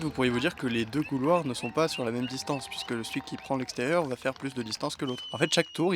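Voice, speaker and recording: Quick casual male voice-over narrator. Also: mid-range voice. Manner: casual explanatory, chatty asides. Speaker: vlogger narrating. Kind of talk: voice-over commentary.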